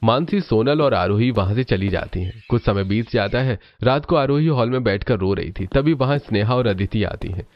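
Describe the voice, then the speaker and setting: Low-voiced man narrating a cartoon story. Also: expressive, moderate pace, few pauses, lo-fi sound.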